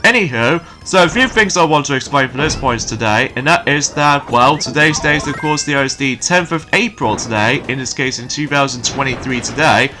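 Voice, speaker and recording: A man giving rambling, casual let's play commentary, his voice slightly croaky from waking early. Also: mid-range voice, moderate pace, few pauses, over music. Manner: rambling, repeats filler phrases.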